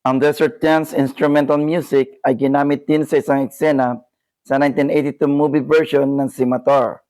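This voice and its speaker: A man with a Filipino accent reads a scripted educational narration in a formal, deliberate manner. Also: mid-range voice, a little mellow. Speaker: video narrator.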